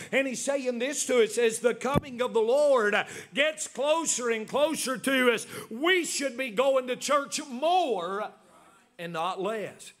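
A man's voice: extremely high pitch, a bit bright. A man in his forties preaching a sermon as pastor, with many "uh" fillers and emphatic repetition. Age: 40s.

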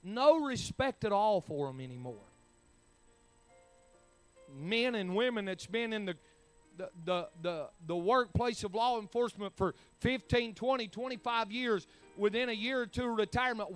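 High-voiced preacher man. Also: expressive, normal speed, faint music behind. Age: 40s. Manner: preaching with rising, emphatic bursts. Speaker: pastor. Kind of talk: sermon.

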